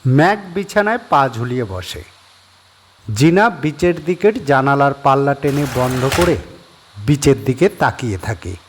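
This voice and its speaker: A man narrating a horror audio story with expressive, suspenseful storytelling. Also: medium pitch, measured pace, slight background noise. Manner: expressive storytelling with rising and falling tension.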